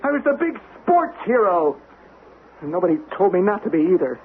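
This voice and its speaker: A man's voice: high-pitched, big pitch swings. Desperate young man, tinny old radio. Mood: bitter, anguished. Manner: halting, pleading, stammering. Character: defensive young man accused of murder, desperate and bitter. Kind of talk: radio drama acting. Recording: noisy, narrow-band vintage radio recording.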